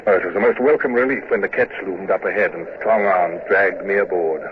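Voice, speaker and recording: An actor playing a calm, commanding British naval commodore in a vintage radio drama, giving measured, dryly ironic orders and narrating. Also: male voice, low-pitched, natural voice. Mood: reflective narration. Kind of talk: 1950s radio drama acting. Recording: muffled noisy old radio recording.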